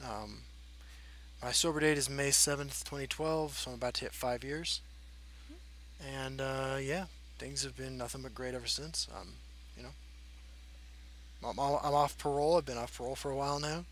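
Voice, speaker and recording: Man in his thirties, casual host, bright tone, faint hum behind. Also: mid-range voice, steady tone, moderate pace, rough recording. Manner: rambling, frank, many fillers. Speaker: channel host. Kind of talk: casual Q&A chat.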